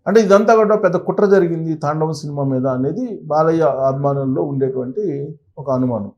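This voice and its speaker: A man's voice: a little high, quick pace, a little mellow, muffled recording. A man presents conversational news commentary and analysis, stressing points emphatically and repeating phrases. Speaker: presenter of a film news channel.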